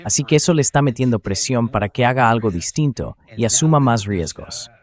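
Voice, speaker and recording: A man's voice: medium pitch, expressive, fairly quick. A synthetic AI man's voice reading a dubbed translation of explanatory military commentary.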